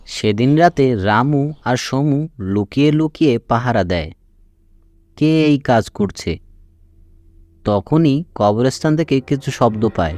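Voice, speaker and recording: A man's voice: mid-range voice, lively intonation, slow pace, long pauses, a little mellow. A man reading scripted narration for a children's cartoon story.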